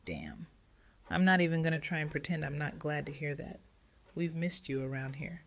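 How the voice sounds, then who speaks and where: A woman's voice: low-pitched, lively intonation, normal speed. An actress playing a resilient, weary widowed mother who speaks frankly in an audio drama.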